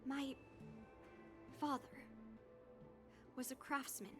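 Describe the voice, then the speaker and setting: A woman's voice: high-pitched, steady tone, slow. An actress playing a proud, blunt, determined young girl swordfighter in a video game. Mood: solemn, determined. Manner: blunt, resolute. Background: game music.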